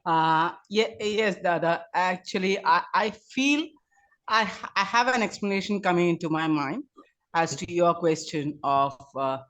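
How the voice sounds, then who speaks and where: A woman's voice: lowish voice, a little slow, fairly bright tone. A woman, speaking unscripted in a group discussion, polite and hesitant as she searches for words.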